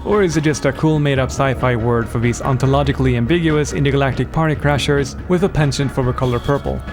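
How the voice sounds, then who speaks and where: A man narrates a scripted lore piece, dropping wry jokes along the way. His voice sits in an ordinary middle register. Music plays clearly under him. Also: medium pitch, fast talker. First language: Swedish. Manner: wry, playful asides. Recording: voice-over with music bed.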